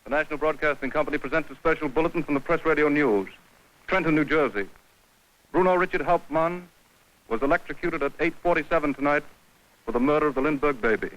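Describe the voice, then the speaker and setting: A man's voice: fairly high pitch, fast talker, fairly bright tone, low-quality recording. A man announcing a news bulletin in 1930s radio style, on an archival broadcast recording.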